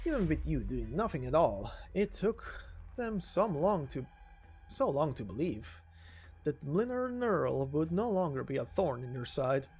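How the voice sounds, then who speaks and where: A man voicing a long-lived, menacing assassin from a game story, speaking deliberately and formally with ominous pauses. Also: on the high side, big pitch swings, a little mellow, slightly rough, faint music behind, exaggerated voice. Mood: probing, accusing. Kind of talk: dramatic game story reading.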